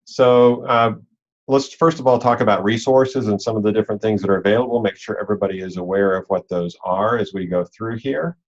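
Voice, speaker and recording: A man with a fairly low voice talks coaches through material on a conference call. His delivery is informal and instructional, circling back to key points. The sound is dull and a bit lo-fi, like computer call audio. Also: steady tone, brisk. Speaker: robotics league organizer and coach leading a call.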